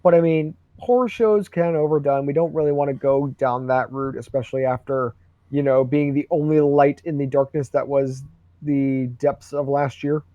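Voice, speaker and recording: A man's voice: mid-range voice, steady tone, normal speed, mellow, no room sound. A young man hosting a live stream, chatting casually, rambling and swearing freely. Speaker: host. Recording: dull dry lo-fi stream.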